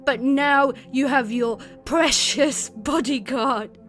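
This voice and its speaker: A woman's voice: sits high, takes it slowly, fairly bright tone. A woman playing a defiant, anguished young survivor in an audio horror drama, with bitter, emotional delivery. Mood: bitter defiance. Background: dramatic music.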